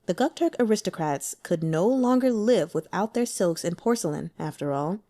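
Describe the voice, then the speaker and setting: A woman's voice: fairly low pitch, lively intonation, moderate pace, warm tone. A woman reading a novel aloud as scripted narration, in the style of an audiobook. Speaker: audiobook reader.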